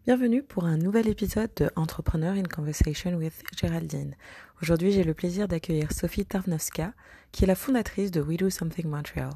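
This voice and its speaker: Husky woman hosting an interview podcast. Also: lowish voice, fairly quick. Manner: gentle questioning with hesitations. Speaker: podcast host. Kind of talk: scripted intro, then interview.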